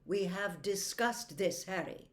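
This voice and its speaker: A woman voicing a calm, stern old male wizard headmaster in a storybook reading, hushed, formal and measured. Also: mid-range voice, natural voice. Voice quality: quiet, hushed.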